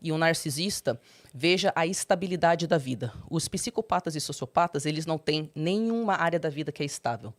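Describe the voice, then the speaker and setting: A young adult woman, a guest in an interview, candidly telling her personal story and breaking into high, animated quotes. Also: brisk. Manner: expressive, swings into high animated quoting.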